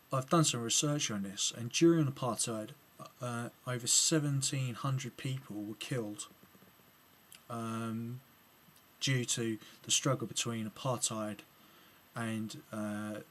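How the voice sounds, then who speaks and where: English male vlogger, mid-pitched, halting delivery with lots of fillers, light background hiss. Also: steady tone, fairly bright tone. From England. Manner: hesitant, many ums and restarts. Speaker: video blogger replying to another video. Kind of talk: casual spoken commentary to camera.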